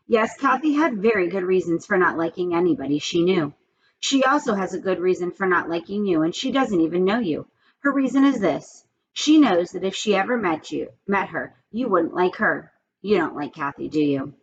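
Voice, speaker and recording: A woman's voice: lowish voice, animated, normal speed, muffled recording, slight background noise. A woman reading a children's storybook aloud, expressively and with asides, speaking straight to young listeners.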